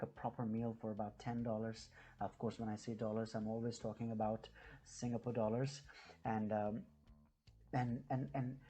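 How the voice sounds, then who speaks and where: A man from New Delhi with an Indian accent and Hindi as his mother tongue, chatting casually and unscripted to viewers, haltingly and often repeating his words. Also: medium pitch, warm tone. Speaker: YouTube vlogger.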